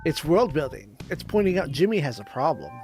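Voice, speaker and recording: A co-host chats casually during a let's play. The delivery is halting and quizzing, with game music playing clearly behind. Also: mid-range voice, big pitch swings, darkish tone.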